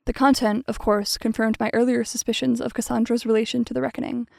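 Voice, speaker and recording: Woman acting studious researcher with creaky voice. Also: on the high side, level tone, quick pace, dark tone, dry recording, clean studio sound. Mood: absorbed, curious. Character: archive researcher PH Hollow, studious, curious, uneasy. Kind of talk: audio drama acting, recorded-log narration.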